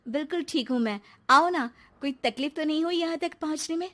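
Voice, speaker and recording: A woman's voice: high voice, fairly quick, pushed and bright. A woman voicing an exasperated young newlywed housewife in a cartoon, polite but fed up. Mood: polite, hesitant.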